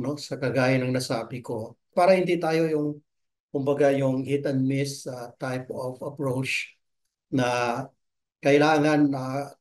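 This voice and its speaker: A man giving explanatory interview answers as an expert guest, hesitant and halting, mixing in English terms. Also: medium pitch, darkish tone. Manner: hesitant, halting delivery with Tagalog and English mixed. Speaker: expert guest, marine science professor.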